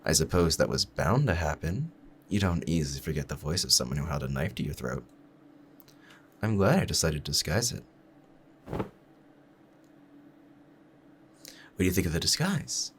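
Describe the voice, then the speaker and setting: A man playing a calm, proud assassin in love, both menacing and tender, talking to the listener in a measured, formal, confiding way in an audio roleplay. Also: rumbling low, slight background noise. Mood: quiet threat. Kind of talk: scripted romantic ASMR audio roleplay.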